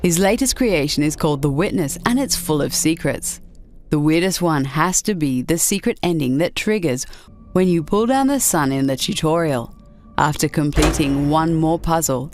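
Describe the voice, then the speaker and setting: A woman's voice: deep voice, a little mellow, slight background noise. A woman reading scripted narration for a video list show about games.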